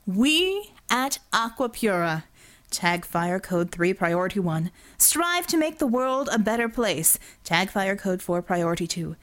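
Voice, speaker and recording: Smooth male showman boss played by a female narrator, slow political oratory, audiobook character. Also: medium pitch, big pitch swings. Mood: grandiose, measured.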